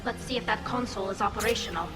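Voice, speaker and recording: An actress playing an earnest, quick-thinking young alien tech expert in a video game, with an urgent, explanatory manner. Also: female voice, mid-range voice, natural voice. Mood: tense, problem-solving. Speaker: tech and hacking specialist. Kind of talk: cinematic video game acting. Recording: loud music behind.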